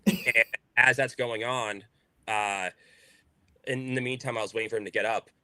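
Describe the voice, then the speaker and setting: A man's voice: medium pitch, steady tone, normal speed, bright, forceful tone, no room sound. A young man from Boston with a Boston accent, a baseball player talking casually in a podcast interview, outspoken and full of fillers.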